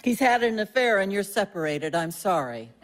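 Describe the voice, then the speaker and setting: An actress playing an imperious, sharp-tongued, ailing middle-aged mother, commanding and judgmental, in an audio drama. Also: mid-range voice, big pitch swings, normal speed, background noise, lightly acted. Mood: demanding. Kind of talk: naturalistic audio drama acting.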